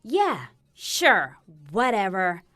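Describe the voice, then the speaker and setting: A woman's voice: medium pitch, big pitch swings, drawn-out words, fairly bright tone, no room sound, heavily acted. A woman playing a defiant, sarcastic, hurting teenage daughter in a dramatic stage piece, snappy in her delivery.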